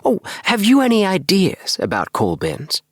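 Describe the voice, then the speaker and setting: A man voicing an excitable, earnest young dreamer in an audiobook, with an emphatic, self-satisfied manner. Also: high voice, normal speed, husky, dry recording, studio quality, pitched above the performer's own voice, full character voice. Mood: earnest, enthusiastic. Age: young adult. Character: excitable young dreamer, eager, earnest, obsessed with house plans. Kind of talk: acted audiobook dialogue.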